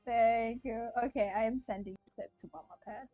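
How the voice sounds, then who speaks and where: A young woman from Malaysia, an excitable game streamer chatting casually and exclaiming to her live viewers. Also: a little high, fairly quick, dry recording, muffled recording, soft background music. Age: young adult.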